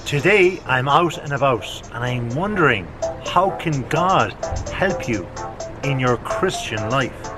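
Casual male podcast host, music underneath. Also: mid-range voice. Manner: casual, probing questions with many "like"s. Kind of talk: street interview. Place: outdoors on a street.

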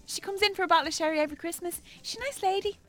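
Woman playing a fast, chatty Irish shop assistant. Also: very high voice, faint music behind. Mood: chatty, nosy. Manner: chatty, inquisitive. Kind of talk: radio drama acting.

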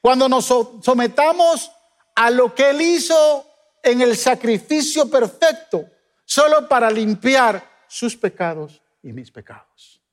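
A Guatemalan man preaching a sermon as pastor, with emphatic rises and sudden soft drops. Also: very high voice, expressive, takes it slowly, big-room sound. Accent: Guatemalan Spanish. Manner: emphatic preaching, swings between loud peaks and soft drops.

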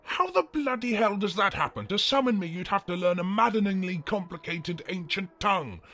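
An actor playing an ancient, pompous, foul-mouthed demon with grand archaic speech, in a comedy sketch. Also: male voice, on the low side, big pitch swings, slow pace, bright, forceful tone, breathy edge, exaggerated voice. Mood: exasperated. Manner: grand, archaic, menacing speech breaking into British swearing.

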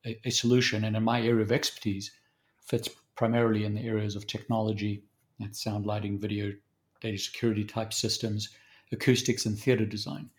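Southern african guy in his 50s, lowish voice, thinking out loud, lots of restarts. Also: flat delivery, fairly quick. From born in Zambia, raised in South Africa. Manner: thoughtful, with frequent restarts and hesitations. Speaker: guest consultant. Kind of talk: conversational interview.

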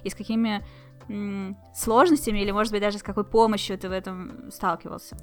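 A woman hosting a casual conversation, chatty and quick to laugh. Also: medium pitch, fairly even intonation, soft background music.

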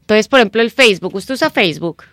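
A middle-aged Colombian woman with a slightly mixed Nicaraguan accent, speaking as a radio guest and time-management trainer in an animated, persuasive coaching tone. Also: medium pitch, fast, no echo. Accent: Colombian Spanish mixed with Nicaraguan. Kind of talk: radio interview, promoting a seminar.

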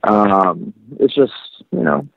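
A man from Iran in his thirties, a photographer, talking reflectively in a casual interview with many pauses and restarts. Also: mid-range voice, lively intonation, gravelly, no room sound. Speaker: photographer guest. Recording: tinny phone-line sound.

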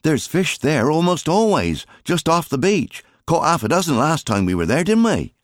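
A fisherman's keen young son speaks up in a storybook, voiced by a grown man. The pitch is lifted and lively, the manner informal and eager. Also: moderate pace, dry recording, clean studio sound. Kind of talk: audiobook character dialogue.

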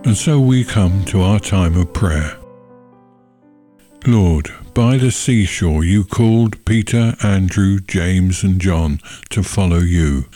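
Slow, low-pitched man leading church prayer. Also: dark tone, soft background music. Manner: measured, solemn phrasing. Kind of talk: sermon and intercessory prayer.

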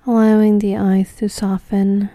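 A woman leading a guided meditation in a gentle, soothing, unhurried way, leaving long pauses between short phrases. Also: medium pitch, flat delivery, dry recording.

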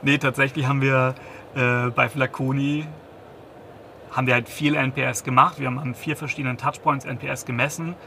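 A man's voice: medium pitch, level tone, brisk, vocal fry, sharp tone, a little hiss or hum. A man in his thirties, a company founder, giving a business interview, hesitant, with many restarts and fillers. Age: 30s.

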